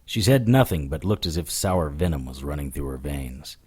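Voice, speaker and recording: A man's voice: extremely low pitch, expressive, normal speed, a little mellow, breathy edge, full character voice. A man playing a wry, defiant supernatural investigator who narrates his case in an audio drama, dry and firm. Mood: wry narration. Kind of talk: first-person detective audio drama.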